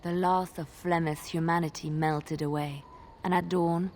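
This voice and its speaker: An actress playing a traveling minstrel in a fantasy game, telling tales in a measured, suspenseful and lyrical way. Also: female voice, lowish voice, little pitch movement, a little mellow, a little hiss or hum, close to an everyday voice. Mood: dark, suspenseful. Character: female traveling minstrel, soft-spoken, wistful storyteller. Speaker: game companion character. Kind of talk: dramatic storytelling in a fantasy game.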